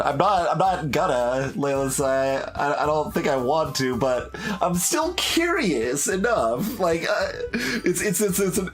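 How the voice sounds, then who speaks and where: A young man giving commentary on a game, musing aloud with many fillers and restarts. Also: on the high side. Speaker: gaming YouTuber. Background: soft game music.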